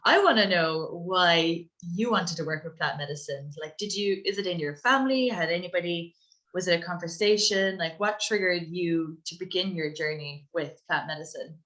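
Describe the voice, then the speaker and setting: A woman hosting a podcast interview, chatting warmly and acting out her own past thoughts. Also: lowish voice, moderate pace. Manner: warm, gushing, quotes her own thoughts. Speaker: podcast host. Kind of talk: casual interview chat.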